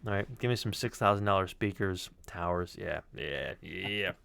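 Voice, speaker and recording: A man with a fairly low voice chats casually as a sound designer. He is an animated storyteller who swears freely, and the sound is close and dry. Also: moderate pace, gravelly, smooth, no echo.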